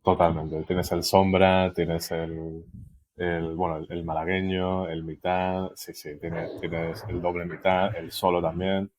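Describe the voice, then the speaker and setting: A young man from Spain with a Málaga accent, chatting casually as a podcast guest, relaxed, with lots of fillers and easy laughter. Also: low voice, slow pace, slight background noise. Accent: Andalusian Spanish from Málaga. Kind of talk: casual interview chat.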